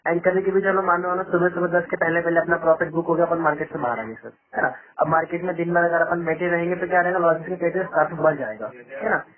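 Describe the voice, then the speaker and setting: High-pitched, fast-talking salesman on phone. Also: steady tone. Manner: insistent, repetitive, frequent tag questions. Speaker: trading company sales caller. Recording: muffled band-limited phone call recording.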